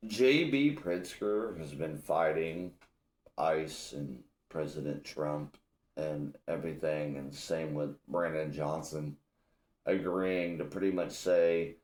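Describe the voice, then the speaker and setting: A man's voice: rumbling low, darkish tone, reverberant. A young man from Illinois hosting a solo podcast, ranting bluntly with lots of profanity. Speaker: podcast host. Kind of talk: casual solo podcast rant.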